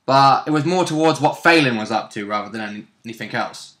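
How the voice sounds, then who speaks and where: A man's voice: mid-range voice, animated, fast, fairly bright tone. A man in casual chat, recapping events from a role-play game he took part in.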